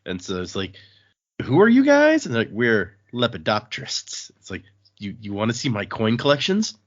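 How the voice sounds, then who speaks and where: A man's voice: mid-range voice, big pitch swings, normal speed, highs cut off. A man hosting a podcast, chatting casually through a discussion of a book. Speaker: podcast host.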